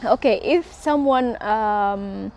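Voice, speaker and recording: A woman's voice: medium pitch, takes it slowly, darkish tone, slight background noise. A woman hosting a casual, chatty interview in a bubbly, encouraging way. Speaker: interviewer, host.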